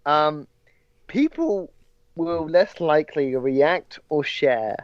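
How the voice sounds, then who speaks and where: A man's voice: on the high side, animated, takes it slowly, no room sound. A twenty-year-old man from England, a podcast guest who chats casually and rambles with frequent restarts. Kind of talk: casual chat. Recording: dry, slightly dull audio.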